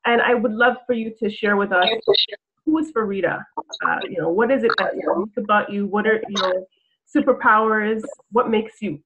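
A woman from Jamaica hosting an interview over a video call, chatty and asking many questions. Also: medium pitch. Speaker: interviewer, summit host. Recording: tinny phone-like video call line with noise.

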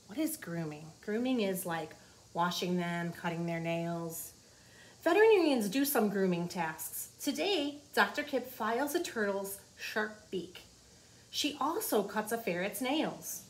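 A woman's voice: medium pitch, expressive, a little slow. A woman in her forties reading a children's nonfiction book aloud like a teacher, explaining things simply to young listeners in a small office. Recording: clearly noisy room ambience.

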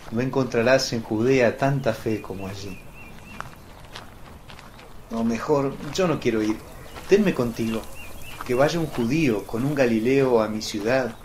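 Casting: male actor for devoted synagogue elder in biblical radio play, mid-pitched, proud, pleading, faint outdoor ambience. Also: a little mellow, slight background noise, natural voice. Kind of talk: radio drama acting.

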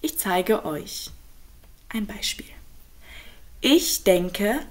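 A young woman, a native speaker and German teacher, calmly explaining grammar in an online lesson with worked examples. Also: medium pitch, whispered. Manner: slow, clear, patient explaining with examples.

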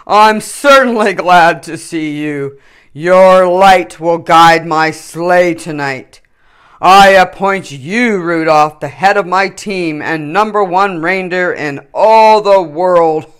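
A woman's voice: lowish voice, slow pace, clear, resonant tone. A woman voicing a jolly old Santa in a storybook, hearty, emphatic and drawn out. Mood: jolly, delighted. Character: big jolly booming old Santa, ho-ho-hoing, male.

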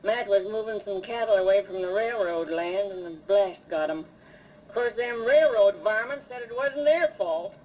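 A woman's voice: medium pitch, expressive, moderate pace, a little mellow, lightly acted. Woman acting weary frontier widow, noisy old recording. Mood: resigned, sorrowful. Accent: American. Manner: folksy, resigned. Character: weary, grieving frontier ranch widow, motherly. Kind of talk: 1950s Western radio acting.

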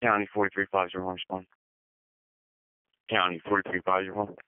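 A man's voice: low voice, level tone, fast, no echo. A man on a responding fire crew, making terse unit reports over two-way radio. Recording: tinny, dry radio transmission.

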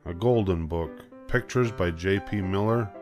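An older man narrating a children's story in an expressive, deliberate way. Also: low voice, faint music behind. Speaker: storybook reader.

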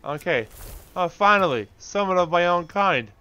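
A man's voice: sits high, lively intonation, moderate pace, bright, forceful tone, clean tone, dull audio. A man doing casual, offhand gameplay commentary, half talking to himself as he plays. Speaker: gamer host narrating own play.

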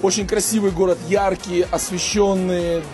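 Young guy fan, high voice, eager, street at night w/ music going. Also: flat delivery, moderate pace. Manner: eager, enthusiastic praise. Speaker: football fan. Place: city street at night, outdoors. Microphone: reporter's handheld mic. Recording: noisy outdoor street recording. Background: loud music.

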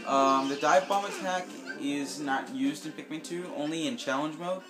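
A man casually commenting while he plays a video game, slightly raspy and hesitant, with lots of ums, filmed off a TV at home. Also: a little high, normal speed. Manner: rambling, hesitant with many ums. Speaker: gameplay video host. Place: home room with TV, filming screen. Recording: echoey room, game music behind.

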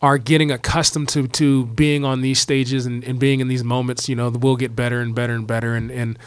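Steady, flat-voiced basketball coach. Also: male voice, mid-range voice, clear, resonant tone, no room sound. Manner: repetitive restarts, steady and measured. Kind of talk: postgame press conference answers. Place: press conference room, seated at table. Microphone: table mic on stand.

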